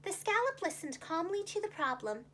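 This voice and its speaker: A woman telling a children's story in an animated, expressive teacher's style. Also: very high-pitched, moderate pace, slight background noise.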